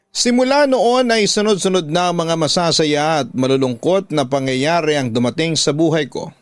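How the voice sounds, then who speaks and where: A man's voice: fairly high pitch, no room sound. A man with a Filipino accent narrating a scripted first-person radio drama with dramatic, emotive storytelling.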